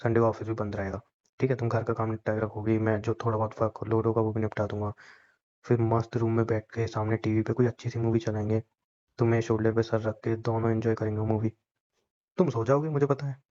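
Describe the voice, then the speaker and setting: A man's voice: fairly low pitch, level tone, fairly quick, mellow. Young man teasing on a dull phone line. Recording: dull lo-fi phone call recording.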